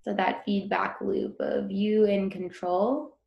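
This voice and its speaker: Slow, creaky-voiced woman guiding meditation. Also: mid-range voice, steady tone, reverberant, dull audio. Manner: gentle, informal, unhurried instruction. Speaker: meditation guide. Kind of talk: guided breathing meditation, softly instructional.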